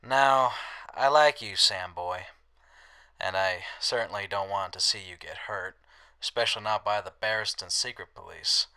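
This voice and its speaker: A man playing a wry, roguish wasteland drifter with a soft heart in an audio drama. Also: lowish voice, moderate pace, smooth. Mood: sly, cautioning.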